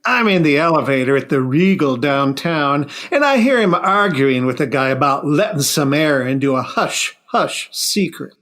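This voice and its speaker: A man's voice: on the high side, lively intonation, normal speed, hardly any pauses, a bit bright. A man acting a streetwise, slangy city hall tipster in a noir radio play, eager and conspiratorial. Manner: colloquial, conspiratorial tipster patter.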